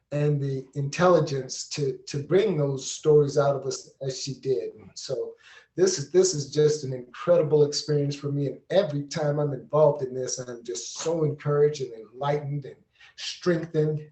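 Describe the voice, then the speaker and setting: A man giving earnest, unscripted reflections on a panel in deliberate phrases, recorded with an echoey room sound. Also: fairly high pitch, mellow. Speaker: panel participant and storyteller.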